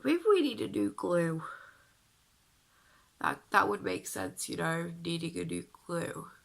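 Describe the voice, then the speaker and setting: Sick girl vlogging, super gravelly deep husky voice, slow and draggy. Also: lively intonation. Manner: chatty and self-deprecating, sighing. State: ill, croaky. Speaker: beauty vlogger. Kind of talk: casual chat to camera.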